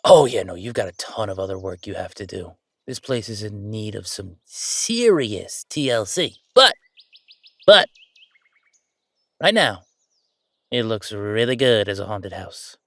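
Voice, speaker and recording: Casting: male actor for playful, bossy male ghost roommate, sentimental underneath, very lively pitch, dry close sound, audio roleplay. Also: vocal fry, dry recording, exaggerated voice. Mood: playful, teasing. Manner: animated, teasing, banter with pauses and repeats. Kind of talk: roleplay audio drama monologue, conversational.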